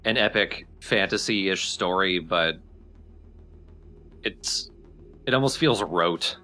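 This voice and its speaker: Bright, low-pitched man chatting about games. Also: lively intonation, slow pace. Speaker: let's play co-commentator. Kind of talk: casual opinion chat.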